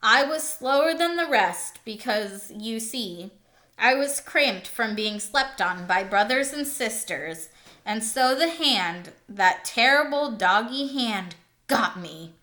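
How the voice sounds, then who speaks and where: Woman as weary male hamster, scornful, grumbling, storybook character, slow pace, bright tone. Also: fairly high pitch, lively intonation, full character voice. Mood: weary, indignant.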